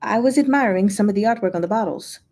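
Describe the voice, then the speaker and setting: A woman voicing a polite, gentle male former god who hides sadness behind cheer, in a storybook reading. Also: mid-range voice, quick pace, natural voice. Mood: faked cheer. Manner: polite, mild.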